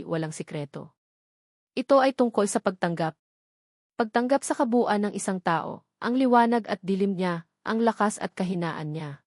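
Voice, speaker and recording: A woman voicing an earnest, devoted young man, a company boss, speaking calmly in a storybook romance. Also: medium pitch, no echo, clean studio sound, lightly acted. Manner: calm, earnest.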